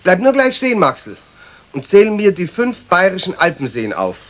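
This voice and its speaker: A man's voice: high-pitched, huge pitch swings, moderate pace, a bit bright, exaggerated voice. An actor playing a stern, exasperated male schoolteacher in a children's radio play, scolding with emphatic enunciation. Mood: impatient. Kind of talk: 1970s German children's radio play acting.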